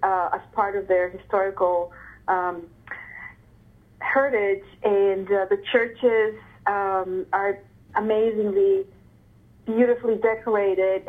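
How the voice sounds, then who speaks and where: Woman, from Ecuador, interviewee, hesitant with fillers, slow, phone-like audio. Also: mid-range voice. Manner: hesitant, many fillers and throat clearing. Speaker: interviewee, artist. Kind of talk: interview answers. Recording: phone-like narrow sound.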